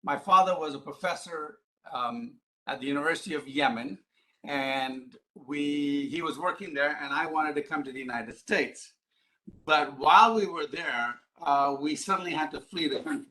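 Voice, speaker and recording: Bright-voiced man giving a live talk. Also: a little high, moderate pace, a little hiss or hum, rough recording. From Ghana, Middle East and East Africa. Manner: rhetorical questions, explanatory, emphatic. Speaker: public speaker, advocacy group president. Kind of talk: live lecture to an audience.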